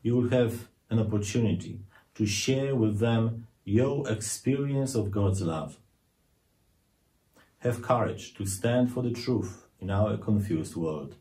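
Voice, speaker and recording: Slow, low-voiced priest preaching. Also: male voice, mellow. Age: middle-aged. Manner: measured, deliberate, earnest. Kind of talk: scripted homily to camera.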